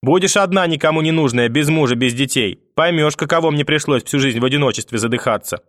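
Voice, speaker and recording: A man's voice: fairly high pitch, fast, a bit bright, no echo, clean studio sound, pitched above the performer's own voice, close to an everyday voice. A man voicing a spiteful, domineering old grandmother in an audiobook, scolding and cursing with sarcasm. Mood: bitter, sarcastic. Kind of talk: audiobook character acting.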